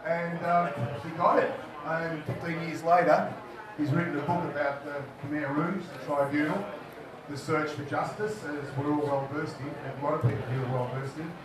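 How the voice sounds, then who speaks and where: A man's voice: on the high side, steady tone, normal speed. A man introducing the evening's speaker at an event with a brief, formal introduction. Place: large room with a crowd. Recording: echoey room, far from the mic, crowd noise.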